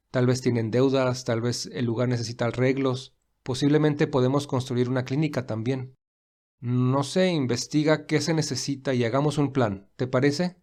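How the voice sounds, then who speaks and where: A man playing a thoughtful, devoted young gentleman in an audio drama, earnest and reflective. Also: mid-range voice, natural voice.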